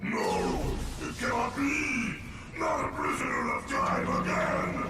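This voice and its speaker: Ancient evil spirit for a game: harsh, bombastic, threatening, far off under loud music. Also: male voice, very high voice, fairly even intonation, slow pace. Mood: despairing. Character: furious booming evil male spirit overlord, ancient and domineering. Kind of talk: video game cartoon villain acting. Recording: echoing, distant, loud music behind.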